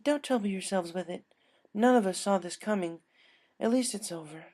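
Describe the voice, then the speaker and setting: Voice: mid-range voice, normal speed, darkish tone, pitched above the performer's own voice, close to an everyday voice. A narrator voicing a friendly, sympathetic female pony customer, chatty and polite, in a story reading. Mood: reassuring.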